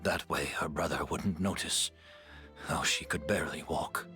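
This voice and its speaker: A frail remorseful perfumer. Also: male voice, rumbling low, moderate pace, soft background music. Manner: calm, confessing, earnest.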